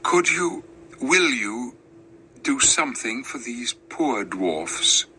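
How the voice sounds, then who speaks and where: A tender-hearted young storybook queen, as a male reader puts her on. She is earnest and pleading, with animated pitch and a clear, bright tone. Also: slow, a little hiss or hum, full character voice. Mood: tearful pleading. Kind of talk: dramatized storybook reading.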